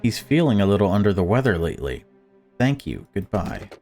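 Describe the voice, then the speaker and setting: A fretful, prim mother from a visual novel, acted out by a low-voiced man. The manner is chatty and insistently upbeat, with forced cheer. Faint music plays underneath. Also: sing-song, fairly quick, gravelly, darkish tone. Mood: polite, anxious.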